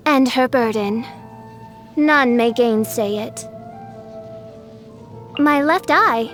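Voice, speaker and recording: A woman's voice: high-pitched, a bit bright. A woman voicing a grandiose, theatrical teenage girl who styles herself a princess, speaking in pompous archaic phrases as a video game character. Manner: pompous, archaic, dramatic royal speech.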